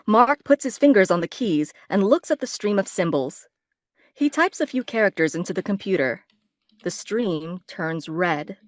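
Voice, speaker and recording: A female narrator reads screenplay directions for a table read. The voice is mid-pitched with animated intonation. The sound is dry, with no room echo. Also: moderate pace. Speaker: narrator reading stage directions.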